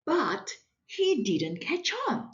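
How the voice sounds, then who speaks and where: A woman reading a children's storybook aloud with expressive, deliberate delivery and dramatic emphasis. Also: a little high, dull audio. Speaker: parent reading a picture book aloud. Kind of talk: animated children's storybook narration.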